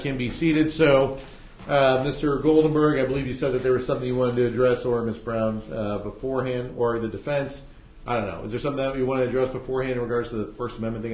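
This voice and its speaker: A man speaking as a judge, giving informal, plain-spoken instructions in a courtroom, explaining things step by step. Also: medium pitch. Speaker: judge presiding over a trial. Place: indoor courtroom. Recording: roomy, dull courtroom audio.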